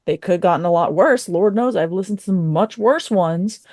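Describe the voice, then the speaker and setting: A woman's voice: fairly low pitch, a little fast, a little mellow, dry recording, clean studio sound. A woman giving casual opinions as a podcast panelist, rambling and often interrupting herself. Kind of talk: casual review discussion.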